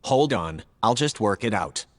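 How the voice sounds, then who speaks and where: A man playing a logical, earnest male artificial intelligence in an audio drama, speaking in a measured, factual, formal way. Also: fairly low pitch, expressive, big-room sound, noisy background. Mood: earnest, matter-of-fact. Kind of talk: scripted audio drama dialogue.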